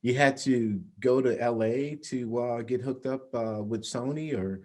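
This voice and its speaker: A man's voice: medium pitch, a little slow, darkish tone. A man hosting a casual interview, asking informal questions and responding attentively to his guest. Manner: informal, attentive, frequent backchannels. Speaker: interviewer, host.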